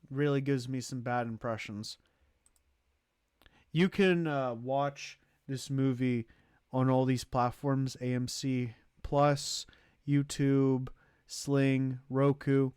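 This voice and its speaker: A man giving casual livestream commentary as host, thinking aloud in a halting way as he ranks things. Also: medium pitch, steady tone, darkish tone, smooth, no echo, clean studio sound. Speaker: streamer hosting a movie tier list.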